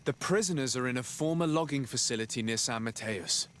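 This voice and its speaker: An actor playing a composed, decisive diplomatic envoy in a video game, speaking in a formal, measured way. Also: male voice, medium pitch, a bit bright, a little hiss or hum, close to an everyday voice. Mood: earnest, resolute. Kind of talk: scripted video game acting.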